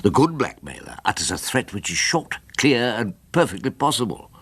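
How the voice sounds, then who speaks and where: An older actor with an English accent playing a wry, rumpled ageing barrister in a radio drama, droll and theatrically ruminative. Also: male voice, fairly low pitch, big pitch swings, moderate pace, a bit bright, husky, heavily acted. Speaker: lead character and narrator.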